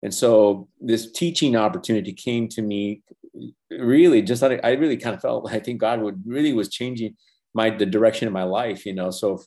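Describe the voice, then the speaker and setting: An older man whose first language is Spanish, talking earnestly in a casual interview, halting with many restarts and fillers. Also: lowish voice, dark tone. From Los Angeles. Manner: earnest, reflective, faith-centered. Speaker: animation professor and former feature animator, guest.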